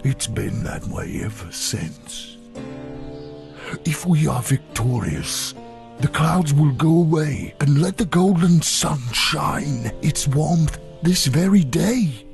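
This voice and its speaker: A man playing a kindly, sage snow creature in a fantasy audio story, with a measured, avuncular manner. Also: medium pitch, vocal fry, a little mellow. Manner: measured, avuncular, old-fashioned wording.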